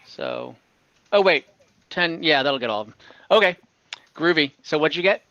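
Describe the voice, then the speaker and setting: A man with a fairly high, bright voice runs a tabletop game online. He speaks casually and informally as the game master. The recording is close and dry, though a little lo-fi. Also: very animated, moderate pace, gravelly, dry recording.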